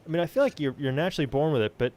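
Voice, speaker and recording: A man's voice: fairly high pitch, expressive, very fast, a little mellow, dry recording. A man hosting a casual, conversational podcast chat.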